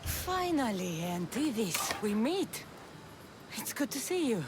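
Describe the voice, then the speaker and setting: A woman's voice: a little high, very animated, slow, background noise. An actress playing a tough, sardonic young Greek mercenary woman in a video game cutscene, dry and sceptical in manner. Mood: wry, pleased.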